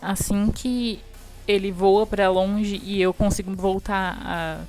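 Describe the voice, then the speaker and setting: A Brazilian woman playing a tabletop game, describing her character's actions in first person with a halting delivery. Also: medium pitch, fairly even intonation, darkish tone, soft background music. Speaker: tabletop roleplay player. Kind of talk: first-person roleplay narration.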